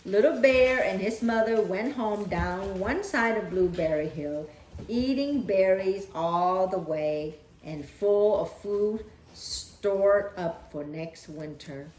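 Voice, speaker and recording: A woman reading and talking to children as a storyteller, with deliberate, emphatic phrasing. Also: mid-range voice, a little mellow, a little hiss or hum. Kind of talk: children's read-aloud storytelling. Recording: echoey, roomy sound.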